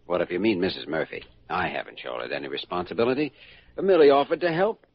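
Earnest kindly doctor, low voice, very expressive intonation, classic radio drama, muffled old recording. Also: male voice, fast talker. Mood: spirited, defensive. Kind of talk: 1940s-50s radio drama acting.

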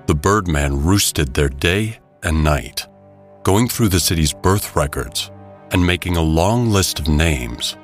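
A man's voice: low voice, lively intonation, takes it slowly. A man narrating an audio drama in a scripted, dramatic storytelling style. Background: music.

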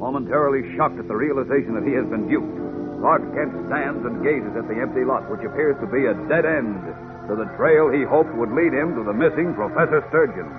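Vintage adventure-serial announcer, male, dramatic urgency over loud music, thin tinny old recording. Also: medium pitch, a little fast. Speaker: narrator, announcer. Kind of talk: 1940s radio serial narration, scripted.

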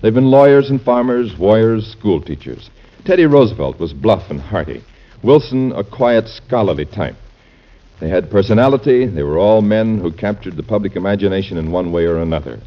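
A man's voice: on the low side, lively intonation, normal speed. An American man hosting a vintage radio programme, delivering scripted narration as its announcer. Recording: muffled vintage radio recording.

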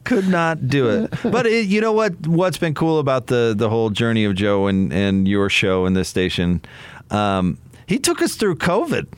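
A man's voice: medium pitch, very animated, normal speed, clear, resonant tone. An American man in casual sports radio discussion as a commentator, hesitating and repeating words while he builds his point.

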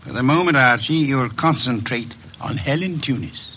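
A man's voice: mid-range voice, moderate pace, noisy background, lightly acted. An actor playing a shrewd, formal male detective who speaks in a precise, commanding way, in 1940s radio style.

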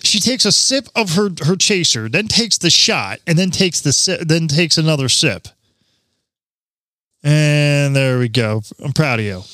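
Podcast co-host, male, crude joker, bright animated delivery, dry close sound. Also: a little high, no room sound. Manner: loud joking, profane, quick laughs. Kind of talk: crude casual banter.

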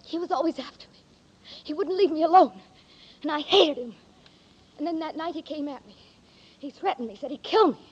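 A hushed young woman voiced by an actress in a 1940s radio drama, speaking softly and tenderly. Her voice sits very high. The old broadcast sound is dull and lo-fi. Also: fairly quick, pauses often, close to an everyday voice. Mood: confessing. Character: quiet young woman with a deadly secret, Ruth.

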